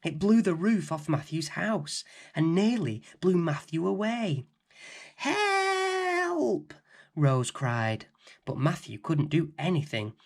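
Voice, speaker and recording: A man reading a children's bedtime story aloud as host, in an expressive, dramatic, theatrical manner. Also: fairly high pitch, husky. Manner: dramatic, theatrical, deliberate phrasing.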